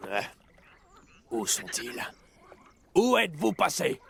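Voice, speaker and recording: An actor voicing a sly, menacing animal predator in a French cartoon dub, with drawn-out, gloating, theatrical delivery. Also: male voice, on the high side, wildly swooping pitch, vocal fry, noisy background, heavily acted. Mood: menacing, baffled. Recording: music behind.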